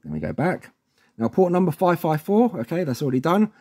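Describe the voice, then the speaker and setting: A man's voice: fairly high pitch, very animated, a little fast, warm tone, slightly rough. A man presenting a casual instructional walkthrough in an informal, chatty way, often checking in with the listener. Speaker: tutorial presenter.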